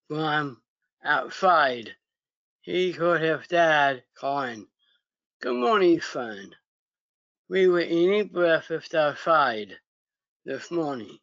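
A man reads a children's story extremely slowly and haltingly, a few words at a time. His voice is a little high for a man. The recording is a dry, muffled video call. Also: fairly bright tone, dry recording. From United States. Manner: halting word-by-word reading with pauses and stumbles. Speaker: story reader. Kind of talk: reading a children's story aloud.

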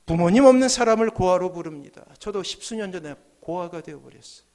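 A man in his forties from South Korea preaching a sermon as a pastor, swinging emphatically between hushed and forceful phrases, at a church pulpit microphone. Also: high-pitched, animated, fast. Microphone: gooseneck podium microphones.

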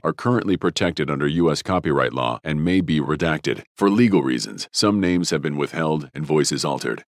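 A man's voice: a bass voice, no room sound, clean studio sound. A man playing a grave, formal announcer reading a scripted disclaimer.

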